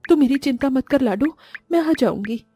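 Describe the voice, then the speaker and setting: Woman playing a pleading, desperate poor mother, high voice. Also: expressive, normal speed, dark tone, soft background music. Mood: distressed, resolute. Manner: pleading, plaintive. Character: poor, desperate, loving mother of young daughters. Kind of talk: melodramatic Hindi animated moral story.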